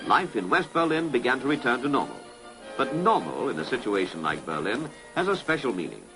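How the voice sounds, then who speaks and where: A British man delivers formal, authoritative documentary narration in the manner of an early 1960s information film. His pitch moves in a lively way, with music playing behind him on a vintage film soundtrack. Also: fairly quick, low-quality recording.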